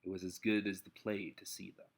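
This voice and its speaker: A man's voice: sits low, flat delivery, brisk, gravelly. A man reading an adventure novel aloud as dramatic narration, an amateur audiobook reader.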